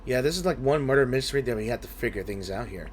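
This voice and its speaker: A man's voice: medium pitch, expressive, quick pace, slight background noise. A man giving casual commentary on a video game and reading some of its dialogue aloud. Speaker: gameplay streamer.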